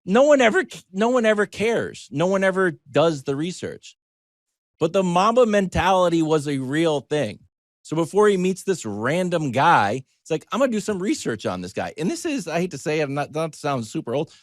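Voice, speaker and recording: An American man giving conversational sports talk as a commentator, animated, digressive and self-deprecating as he tells stories. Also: fairly high pitch, moderate pace, no echo, clean studio sound.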